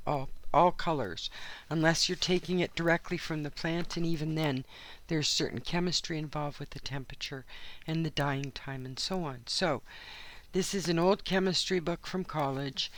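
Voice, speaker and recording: A woman with a hoarse, worn-out voice talks casually as she plays in a journal at her craft table. She rambles from one aside to the next, filling the gaps with ums, in the easy manner of a regular channel host. The sound comes from her home craft studio. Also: sits low, slight background noise. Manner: rambling, chatty, frequent asides and fillers. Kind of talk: casual chat while crafting.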